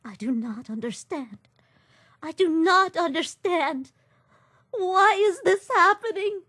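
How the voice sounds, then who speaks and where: A woman's voice: extremely high pitch, expressive, slow, bright, forceful tone, no room sound, clean studio sound, exaggerated voice. A woman voicing a grieving, motherly goat-like former queen who speaks formally, in a comic dub. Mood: sobbing. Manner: formal, no contractions, tearful. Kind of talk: fan comic dub acting.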